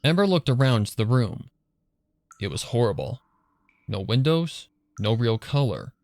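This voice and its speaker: A man's voice: medium pitch, very animated, unhurried, a little mellow, no echo. A man reading scripted dramatic story narration for a fan fiction.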